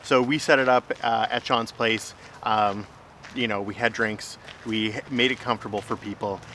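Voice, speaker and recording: A man's voice: fairly low pitch, fairly even intonation, moderate pace, pushed and bright, a little hiss or hum. A man hosting a casual vlog, explaining things in a conversational way. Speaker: host, filmmaker.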